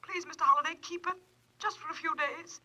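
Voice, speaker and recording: A woman's voice: very high voice, fairly even intonation, fairly quick, bright, forceful tone, exaggerated voice. An actress playing a frightened, secretive mother pleading urgently over the telephone in a 1940s radio drama. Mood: fearful, pleading. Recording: muffled vintage radio recording, telephone scene.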